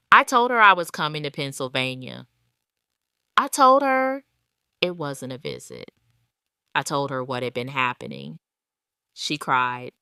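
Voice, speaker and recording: A woman reads a scripted, dramatic first-person story. Her voice is low and very smooth, with measured, deliberate delivery. The recording is close and dry. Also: pushed and bright, no room sound. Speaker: audio story narrator.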